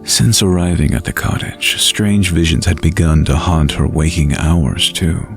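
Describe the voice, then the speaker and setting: A man narrating a scripted fantasy bedtime story in a measured, atmospheric way. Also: a bass voice, creaky. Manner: measured, literary, atmospheric delivery. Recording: light music behind the voice.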